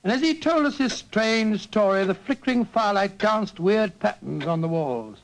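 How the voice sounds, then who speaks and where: An actor playing an elderly, genial retired English doctor telling stories in an avuncular, hesitant old-fashioned way on a 1940s radio drama. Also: male voice, high-pitched, expressive, moderate pace, vocal fry. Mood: grave storytelling. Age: older. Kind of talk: 1940s radio acting, storytelling. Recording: noisy vintage 1940s radio recording.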